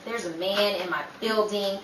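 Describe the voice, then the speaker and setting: A woman playing a sharp-tongued, exasperated, sarcastic show business woman in old time radio comedy style. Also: mid-range voice, fairly even intonation, normal speed, lightly acted. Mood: urgent. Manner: sarcastic, scolding, wisecracking. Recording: roomy, distant mic, faint noise.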